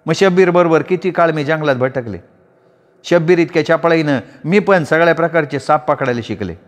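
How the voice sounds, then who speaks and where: A man's voice: on the high side, fairly quick, voice pushed up, natural voice. Man voicing a bold cunning young woman.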